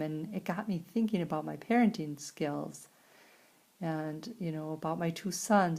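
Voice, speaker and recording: A woman with an American accent and a creaky voice, interpreting a signed personal story aloud in halting phrases with pauses. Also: lowish voice, a little mellow. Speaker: sign language interpreter voicing a signer.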